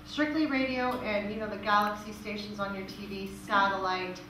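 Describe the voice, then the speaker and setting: A woman's voice: mid-range voice, steady tone, moderate pace. Woman presenting in a very echoey room. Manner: conversational, explanatory. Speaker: presenter from a royalties organisation. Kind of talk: informal question-and-answer presentation. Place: echoey room at a live meeting. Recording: very echoey room, distant mic, noisy.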